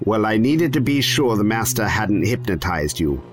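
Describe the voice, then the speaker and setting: A man playing a weary, secretive time traveller in an audio drama, measured, evasive and wry. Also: on the low side, very animated, soft background music.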